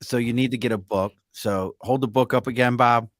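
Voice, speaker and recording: A man's voice: medium pitch, brisk, dry recording, clean studio sound. A man hosting a podcast with a Baltimore accent, chatting casually and joking, often slipping into playful mock voices. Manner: jokey, animated, breaks into mock voices. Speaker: podcast host. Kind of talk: casual interview chat.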